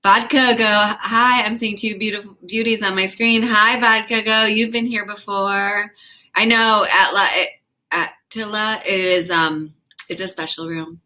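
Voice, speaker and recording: Young woman streamer, casual and giggly, bright voice, rambling chat host. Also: mid-range voice, fairly even intonation, measured pace. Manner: chatty, giggly, lots of fillers. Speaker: livestream host. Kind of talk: casual chat reading viewer comments.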